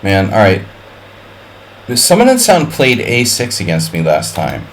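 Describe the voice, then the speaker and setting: A man with a fairly low voice thinks aloud through a chess simul on his live stream, calling out moves between wry jokes and puns. A faint hum of room noise sits under his voice. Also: huge pitch swings, a little slow, low-quality recording. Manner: wry and offhand, chatting with viewers. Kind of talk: live-stream commentary while playing a simul.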